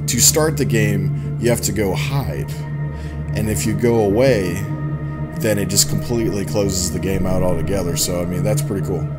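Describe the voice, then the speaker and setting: A young man hosting a casual let's play, chatting informally and reacting playfully as he goes. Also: fairly low pitch. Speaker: gaming YouTuber host. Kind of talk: casual let's play commentary, reading game text aloud. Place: indoor home gaming setup. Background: game music.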